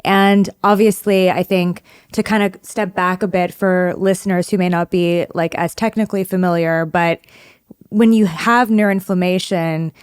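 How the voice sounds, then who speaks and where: Smooth low-voiced woman host. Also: steady tone, moderate pace. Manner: engaged, framing questions for listeners. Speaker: podcast host. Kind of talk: podcast interview.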